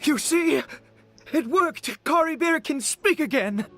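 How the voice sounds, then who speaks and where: Desperate middle-aged father, emotional, hesitant, slow swooping delivery, video game drama. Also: very high-pitched, animated, a bit bright, soft background music, heavily acted. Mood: overjoyed. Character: desperate, fervent father clinging to hope.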